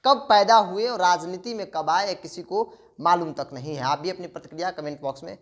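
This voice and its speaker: High-pitched male political interviewer. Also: brisk, heavy background noise. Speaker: news interviewer. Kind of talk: conversational political interview questioning.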